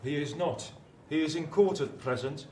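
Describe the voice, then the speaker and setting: An actor playing an officious, matter-of-fact law clerk in a theatrical radio play. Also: male voice, on the high side, moderate pace, darkish tone, lightly acted. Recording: echoey, distant, noisy background.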